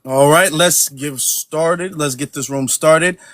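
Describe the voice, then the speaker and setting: A man hosts an online audio room in a brisk, affirming manner, keeping things moving. His voice runs a little high for a man. The sound is dry and close. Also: a bit bright, dry recording. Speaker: room host. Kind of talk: casual moderating.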